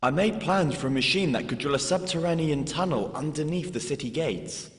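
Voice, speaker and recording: A man's voice: fairly high pitch, lively intonation, brisk, close to an everyday voice. Man playing a sardonic, echoing, exasperated professor. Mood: resigned, bitter. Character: exasperated male college professor-inventor, sardonic and bitter. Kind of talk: audio drama acting. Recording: echoey hall, distant from the mic.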